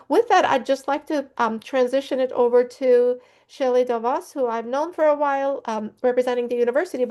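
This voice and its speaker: A woman's voice: fairly high pitch, a little mellow. A woman, a native Turkish speaker, speaking as an education consultant and host introducing an online presentation on a video call. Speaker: education consultant hosting a webinar.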